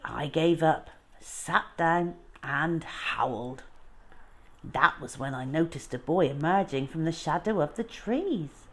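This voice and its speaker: A woman voicing a wistful old lady who recalls her lonely childhood in a measured, reflective storybook manner. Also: low voice, full character voice. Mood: wistful reminiscing.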